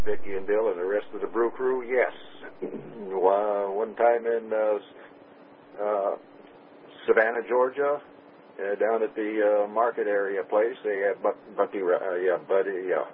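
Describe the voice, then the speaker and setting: A man's voice: fairly low pitch, fairly even intonation, a little slow. Stumbling man calling in over radio. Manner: stumbling, repeated restarts and fillers. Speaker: radio net caller. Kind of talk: casual radio check-in. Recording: narrow radio transmission with hiss.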